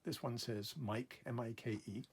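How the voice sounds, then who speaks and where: A man hosting a casual hands-on repair demonstration at a workbench, explaining methodically step by step in a gravelly, husky voice. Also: medium pitch, steady tone, normal speed, heavy background noise. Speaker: host of a repair channel. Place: indoor workbench in a workshop.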